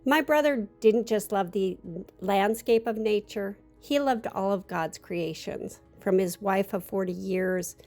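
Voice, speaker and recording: Woman reading a tribute, slightly gravelly. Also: medium pitch, a little mellow. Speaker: bereaved sister giving a eulogy. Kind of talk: prepared memorial tribute, read aloud.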